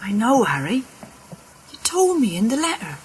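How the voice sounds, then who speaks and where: A middle-aged woman playing a fretful, tender village mother of a soldier in a radio play, with a husky voice. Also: a little high, sing-song, moderate pace, slight background noise, poor audio. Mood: sorrowful.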